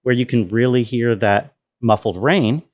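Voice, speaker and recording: A man's voice: medium pitch, unhurried, a little mellow. A man explaining sound design step by step in an informal tutorial style, often dropping in "you know" as he goes. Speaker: sound designer presenting a walkthrough.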